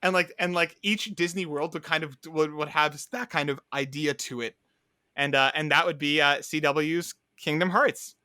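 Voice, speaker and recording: A man's voice: on the high side, steady tone, moderate pace, sharp tone, dry recording, studio quality. A man rambling through a casual podcast chat as co-host, full of filler words.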